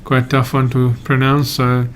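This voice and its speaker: A man from Sri Lanka, a migration agent hosting a live Q&A and answering viewer questions in a halting, hedging way. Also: medium pitch, completely flat delivery, a little hiss or hum. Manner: halting, hedges often, reads out viewer questions.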